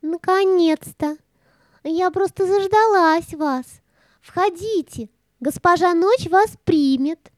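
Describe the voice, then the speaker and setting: A woman's voice: very high-pitched, takes it slowly, a little mellow, no echo. A woman playing a sly, fawning female cat in a fairy-tale audio play, speaking in an ingratiating way. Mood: fawning welcome.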